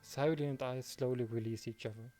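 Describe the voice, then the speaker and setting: A man hosts a let's play, reading story narration and chatting casually about it. His voice is mellow and medium in pitch, with faint music behind him. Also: fairly even intonation, fairly quick. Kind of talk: reading visual novel narration, casual commentary.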